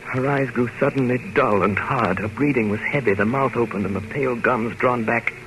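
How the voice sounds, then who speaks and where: A solemn gentleman diarist, played by a man narrates in measured, earnest 1930s radio style. His medium-pitched voice comes through a noisy vintage recording. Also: lightly acted. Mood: sombre narration. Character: earnest, sombre gentleman reading from his private journal.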